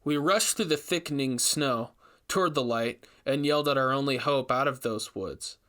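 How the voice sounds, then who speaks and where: Man acting as Daniel Blake, measured investigator narrator. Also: medium pitch, a bit bright, close to an everyday voice. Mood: tense, recounting. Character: Daniel Blake, male investigator recounting a frightening ordeal. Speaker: narrator reading a story. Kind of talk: dramatic first-person scripted narration.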